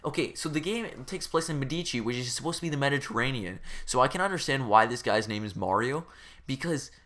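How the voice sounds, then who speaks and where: A man's voice: a little high, sharp tone. A man giving a casual comedic rant as a game reviewer, rambling and exasperated, with informal, profane speech.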